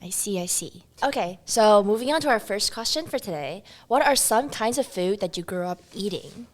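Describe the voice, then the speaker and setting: A woman's voice: mid-range voice, normal speed. A woman in her twenties from Taiwan, hosting a casual student podcast and leading it in an easygoing way with plenty of "like". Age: 20s. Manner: relaxed, uses "like" a lot. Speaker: podcast host. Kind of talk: casual chat.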